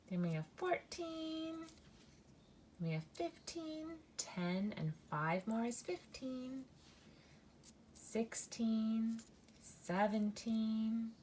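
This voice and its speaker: A woman in her thirties teaching a children's math lesson, counting aloud slowly and breaking into mock-alarmed squeals. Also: a little high, darkish tone, a little hiss or hum. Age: 30s. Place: indoors at a table, hands-only video.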